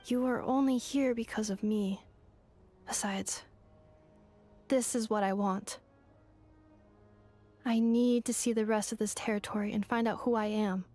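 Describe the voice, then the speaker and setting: A woman voicing a calm, precise android. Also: on the high side, unhurried, long pauses, soft background music, close to an everyday voice. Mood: earnest. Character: calm female android, earnest and composed.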